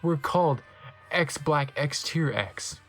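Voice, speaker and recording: A man's voice: a little high, slow, a bit bright, faint music behind. A man voicing a gloomy young male goth musician in a comedic dramatic reading of fan fiction. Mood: low-key, cool. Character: gloomy, low-voiced young male goth band member.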